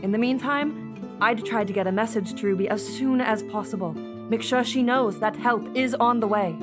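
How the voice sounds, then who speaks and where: A woman acting a calm, reassuring mentor with measured, formal speech in an audio drama. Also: a little high, over music, close to an everyday voice.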